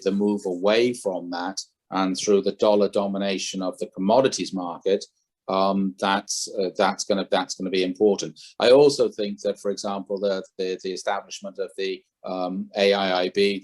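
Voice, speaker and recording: Low-voiced man giving opinions. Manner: opinionated, thinks aloud with frequent self-corrections. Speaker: podcast guest, former head trader. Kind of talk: conversational interview.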